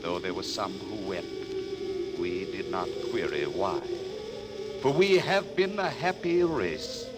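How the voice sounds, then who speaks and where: An actor in a 1950s radio drama playing the solemn last survivor of an ancient microscopic race, speaking slowly like a ritual farewell. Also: male voice, sits low, expressive. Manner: slow, grave, chanting like a ritual farewell. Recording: old radio broadcast over music.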